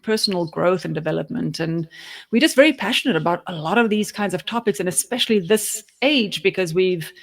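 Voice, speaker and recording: A woman's voice: on the low side, gravelly. A woman in her fifties with a South African accent, co-hosting a podcast from her home office in a reflective, chatty way with many restarts. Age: 50s. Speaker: podcast co-host. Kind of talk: casual conversation.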